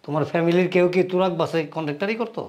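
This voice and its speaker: A man's voice: high-pitched, darkish tone, lightly acted. A man with a colloquial Bangladeshi accent playing a domineering, hot-tempered husband and elder brother who scolds bluntly in a family drama. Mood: angry, reproachful. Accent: colloquial Bangladeshi Bengali. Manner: scolding, blunt, rapid-fire.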